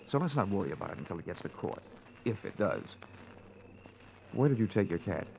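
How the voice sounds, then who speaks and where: Wry, hard-boiled radio detective. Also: male voice, fairly low pitch, sing-song, fairly quick, vocal fry, a little hiss or hum. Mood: firm, persuading. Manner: wry, tough, slangy. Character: hard-boiled wisecracking male insurance investigator. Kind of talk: 1950s radio detective drama acting and narration. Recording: dull old radio recording with music.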